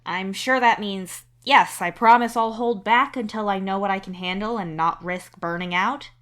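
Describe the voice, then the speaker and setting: A woman's voice: mid-range voice, normal speed, a bit bright, close to an everyday voice. A woman voicing a young male alien caretaker in a podfic, casual, teasing and protective. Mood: wry, suspicious.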